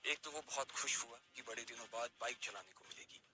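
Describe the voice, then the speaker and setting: Man, story narrator, dramatic storytelling, middling pitch, prominent loud music bed. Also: brisk.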